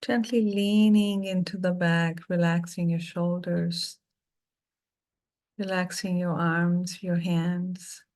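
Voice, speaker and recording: Slow, soothing woman guiding meditation. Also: on the low side, flat delivery. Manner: slow, soft and soothing, with long pauses. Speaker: meditation guide. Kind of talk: guided meditation.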